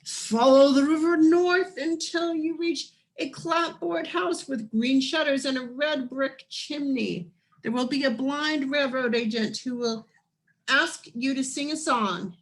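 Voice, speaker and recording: A woman voicing a solemn, motherly ghostly guide in a children's storybook, giving measured, urgent instructions. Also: sits high, pitched above the performer's own voice, low-quality recording. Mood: solemn warning.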